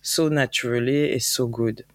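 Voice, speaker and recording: A woman with a French accent, a guest founder on an interview podcast, talking upbeat and animated and sometimes searching for words. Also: rumbling low, moderate pace, no room sound. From France. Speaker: guest, travel company founder. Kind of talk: conversational interview.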